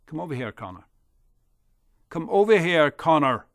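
A man voicing a schoolboy bully who mocks and shouts at a weaker boy in a storytelling performance. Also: on the high side, animated, normal speed, no room sound, studio quality, close to an everyday voice. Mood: mocking. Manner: mocking, sing-song taunts. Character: loud taunting schoolboy bully.

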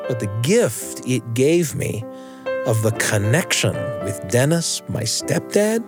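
A man's voice: mid-range voice, sing-song, slow pace, loud music behind the voice. A middle-aged American man hosting a radio show, telling personal stories in a conversational way with emphatic asides. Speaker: radio show host and storyteller.

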